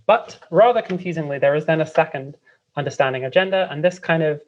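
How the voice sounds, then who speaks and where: A woman's voice: low voice, fast, a little mellow. A woman with a London accent giving casual, discursive, hesitant explanations as an interview guest. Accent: London English. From London, England. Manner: hesitant, discursive, frequent "like" and "kind of". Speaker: interview guest, writer and editor. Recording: slightly dull lo-fi audio.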